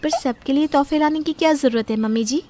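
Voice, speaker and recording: A woman puts on an earnest young bride-to-be for a dramatized story. The voice is pitched high, gentle and polite, yet questioning and firm. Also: brisk, background noise, close to an everyday voice. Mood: polite, hesitant. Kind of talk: acted Hindi audio story.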